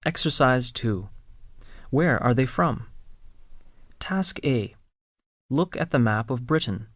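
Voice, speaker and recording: A speaker reading scripted English listening exercises in a slow, deliberate way, spelling out words letter by letter. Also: mid-range voice, lively intonation. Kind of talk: scripted slow textbook dialogue.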